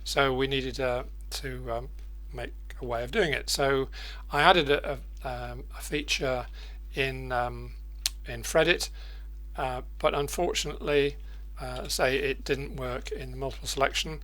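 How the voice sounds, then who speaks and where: An older man presents an informal screencast tutorial in a medium-pitched voice. He thinks aloud as he works, hesitating while he explains each step. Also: steady tone, a little slow, fairly bright tone. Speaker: presenter of editing macro tutorials.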